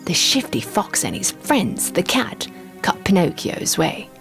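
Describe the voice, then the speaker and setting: A woman narrating a scripted children's story in an expressive, deliberate storytelling manner. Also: lowish voice, creaky. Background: music.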